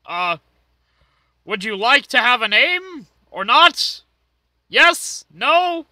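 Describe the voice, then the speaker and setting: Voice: mid-range voice, very animated, extremely slow, sharp tone, dry recording, studio quality, heavily acted. A performer voicing a manic, foul-mouthed, bossy cartoon ringmaster in an amateur fan dub, theatrical with hesitant asides. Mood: showy explaining.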